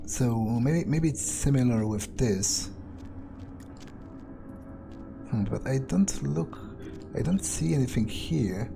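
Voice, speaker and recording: A man playing a weary, foul-mouthed vampire who thinks aloud in a halting, musing monologue, as in a game playthrough. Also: mid-range voice, expressive, creaky, music in the background. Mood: grumbling, musing. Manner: halting, musing, casually profane. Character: weary, foul-mouthed male vampire muttering his thoughts. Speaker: gaming video creator playing in character.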